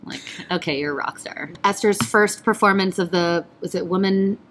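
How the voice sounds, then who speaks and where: A woman guest on a podcast, a stage performer, telling stories in an animated way and laughing often. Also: on the low side, normal speed. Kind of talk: casual conversation.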